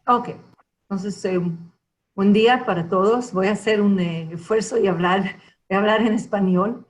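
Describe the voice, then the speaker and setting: A professor from Argentina answering questions on a video call, speaking haltingly with many fillers and restarts as she searches for words. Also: medium pitch, rough recording.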